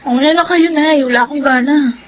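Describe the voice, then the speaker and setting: An actress playing a headstrong, emotional young Filipina in a radio melodrama, with a Filipino accent and an emotive, pleading delivery. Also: female voice, high voice, a little fast, dull audio, noisy background, natural voice. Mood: sulky, defiant. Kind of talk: Filipino radio drama acting.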